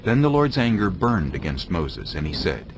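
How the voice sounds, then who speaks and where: Very deep, expressive male scripture narrator. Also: moderate pace, noisy background.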